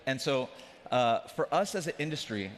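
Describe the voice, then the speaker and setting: A young man from Afghanistan talks on stage in a large conference hall. His voice sits at a medium pitch, and a stage mic carries it into a long hall reverb. He keeps the talk casual and joking, turning to the crowd directly. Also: expressive, normal speed. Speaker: conference speaker, company CEO.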